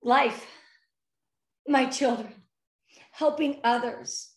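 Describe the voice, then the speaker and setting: A woman's voice: high voice, steady tone, extremely slow, reverberant. A woman in her fifties, an interview guest, talking in an effusive, earnest way with nervous energy. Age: 50s. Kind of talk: emotional heartfelt interview.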